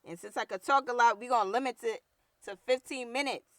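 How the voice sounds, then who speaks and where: A young woman from New York with a deep, rough voice and a New York accent, hosting her own show with blunt, slangy, heated talk. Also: brisk, no echo. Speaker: podcast host. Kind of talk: casual solo commentary.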